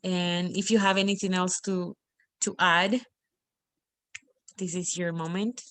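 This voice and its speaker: A young woman from El Salvador with a Salvadoran Spanish accent, a native Spanish speaker, leading a class discussion on a video call in a friendly, unhurried way with small laughs. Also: fairly low pitch, level tone, fairly bright tone, no echo. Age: young adult. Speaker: student facilitating a class discussion.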